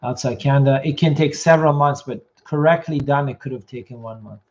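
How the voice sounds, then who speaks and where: Male host, mid-pitched, hoarse, brisk matter-of-fact Q&A delivery, muffled livestream audio. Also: a little mellow. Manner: quick, matter-of-fact answers, reads comments aloud. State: losing his voice. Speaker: immigration consultant host. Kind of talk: live Q&A reading viewer questions.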